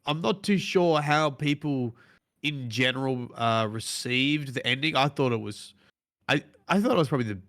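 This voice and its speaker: A man chats on a relaxed podcast in a bright, sharp voice at a middle pitch. He hesitates and laughs through his sentences. Fillers trail through his talk. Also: normal speed, no echo, clean studio sound. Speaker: podcast co-host. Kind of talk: casual chat.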